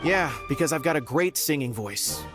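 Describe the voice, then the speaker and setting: An actor playing a forgetful, self-doubting singing frog in a video game, hesitant and wry. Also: male voice, on the high side, lively intonation, normal speed, over music, lightly acted. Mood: wry, exasperated. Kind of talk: video game character acting.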